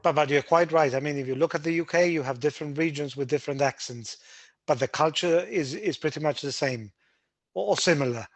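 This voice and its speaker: A man hosting a webinar, explaining tax residency rules in a brisk, emphatic way with frequent restarts. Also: on the high side, dry recording. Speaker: webinar host, Gibraltar tax adviser.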